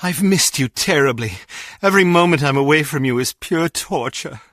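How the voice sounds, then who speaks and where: An actor playing an earnest, excitable and awkward male character in a spy video game, with a dramatic delivery. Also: a little high, moderate pace, no room sound, studio quality. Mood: lovelorn.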